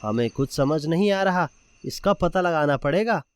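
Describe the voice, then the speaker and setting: Agitated high-pitched royal minister, acted by a man. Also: lively intonation, moderate pace, clean tone, natural voice. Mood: puzzled, suspicious. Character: royal minister, loyal, anxious, wrongly accused. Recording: loud music bed behind voice.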